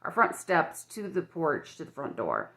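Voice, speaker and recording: An older woman with a croaky, dry-mouthed voice, chatting frankly and rambling with many restarts in a personal vlog filmed on her phone at home. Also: sits low, huge pitch swings, measured pace, a bit bright. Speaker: vlogger.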